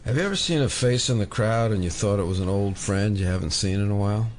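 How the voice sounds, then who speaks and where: A man's voice: fairly low pitch, quick pace, close to an everyday voice. An actor in an audio drama playing a wry, inquisitive, stubborn male federal agent with a casual, understated delivery. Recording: noisy background with clicks.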